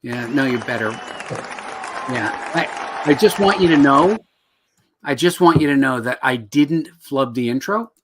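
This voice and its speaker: Brief: middle-aged male host, slightly hoarse, jokey and self-deprecating, webcam sound. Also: medium pitch, animated, unhurried, pauses often, a crowd in the background, background noise. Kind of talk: casual livestream teaching. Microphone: video call webcam.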